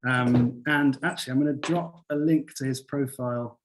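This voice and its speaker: A young man hosting an online academic seminar over a video call, relaxed and full of fillers. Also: mid-range voice, normal speed, background noise. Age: young adult. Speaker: seminar host.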